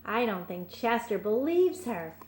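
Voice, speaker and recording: A woman storyteller reading a picture book aloud to young children, pausing for simple explanations and questions. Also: on the high side, big pitch swings, darkish tone.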